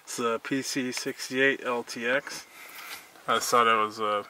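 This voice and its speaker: A man's voice: medium pitch, steady tone, unhurried, background noise. An American man giving an informal, laid-back walk-through for a casual vlog, recorded handheld outdoors. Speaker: vlogger, mobile welder.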